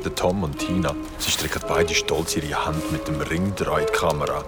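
A man's voice: a bass voice, steady tone, moderate pace. An actor playing a shaken man from the city who tells a horror story in an audio drama, with a Swiss accent. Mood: disturbed. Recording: dramatic music behind.

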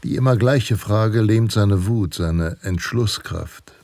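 Low, solemn western narrator. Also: male voice, a little mellow, dry recording. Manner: solemn, portentous, deliberate. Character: solemn western storyteller, grave and heroic. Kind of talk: dramatic scripted western narration. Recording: guitar music behind. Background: plucked guitar music.

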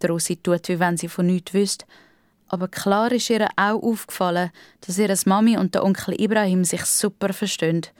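A woman's voice: mid-range voice, steady tone, moderate pace, no room sound, clean studio sound. A woman with a Swiss German accent reads scripted narration for a children's audio drama.

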